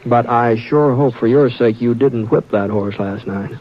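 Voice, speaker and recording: An actor playing a calm, steady frontier rancher who narrates, speaking in a soothing drawl in a 1950s radio drama. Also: male voice, medium pitch, a little slow, close to an everyday voice. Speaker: narrator and lead. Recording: dull old radio broadcast.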